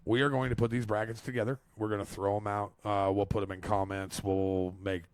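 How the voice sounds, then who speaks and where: A man in his forties talks in a loose, rambling way, full of fillers and offhand swearing. His voice sits low, and the recording is dry and close with no room sound. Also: flat delivery, a little fast, clear, resonant tone, no echo. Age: 40s. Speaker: podcast co-host. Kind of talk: casual chat.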